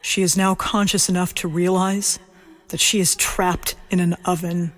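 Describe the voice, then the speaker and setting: A woman narrating a scripted horror audio drama in measured, short present-tense statements. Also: fairly low pitch, little pitch movement. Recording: loud music under the voice. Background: loud music.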